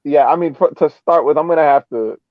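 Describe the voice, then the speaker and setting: A man talking soccer as a podcast panelist in a casual, emphatic, halting way, often repeating words as he builds his point. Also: on the high side, no echo. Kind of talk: casual sports discussion. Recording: phone-like, band-limited call audio.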